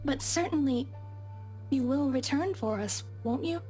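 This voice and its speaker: A woman's voice: high-pitched, a little slow, dark tone, faint music behind. A woman playing a meek, deferential young maid in a visual novel game, speaking in a formal, old-fashioned, hesitant way. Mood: meek, wistful.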